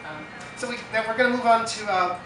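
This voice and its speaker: A man from the Hudson Valley reading poems slowly and feelingly at a festival, then chatting casually between them. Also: sits high. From Hudson Valley, New York, US. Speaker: poetry reader at a festival. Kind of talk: poetry reading with casual asides. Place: festival stage. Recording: echoey, far from mic, loud music behind.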